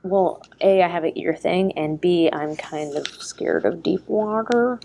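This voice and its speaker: A woman's voice: lowish voice, creaky, dark tone, slight background noise. Chatty American podcast host, woman. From United States. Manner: chatty, quick reactions and asides. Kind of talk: casual chat.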